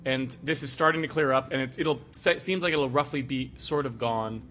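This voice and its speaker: Male podcast co-host, stuffy nose from a cold, bright tone, loose and profane. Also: medium pitch, normal speed, a little hiss or hum. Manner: animated, swears freely. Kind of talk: casual podcast chat.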